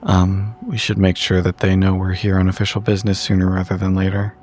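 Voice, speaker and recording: Slightly low-voiced man roleplaying casually. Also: flat delivery, fairly quick, darkish tone, slight background noise. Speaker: player in a tabletop game.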